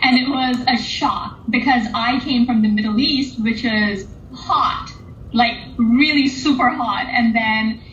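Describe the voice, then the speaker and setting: A woman's voice: fairly high pitch, slow pace, a bit bright. A woman who grew up between England and Oman and later the United States, chatting casually as an interview guest. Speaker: interviewed artist. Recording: roomy, dull, lo-fi audio with faint noise.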